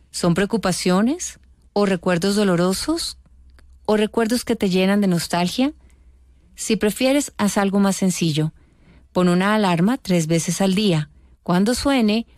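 A woman calmly narrating a scripted, instructive guided reflection exercise. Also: mid-range voice, no room sound, clean studio sound. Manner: calm, instructive.

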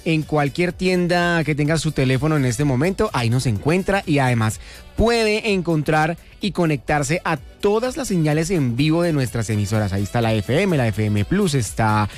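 A man's voice: on the high side, expressive, soft background music. Upbeat male radio co-host. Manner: upbeat, quick listing, easy laughter. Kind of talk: radio promo and banter.

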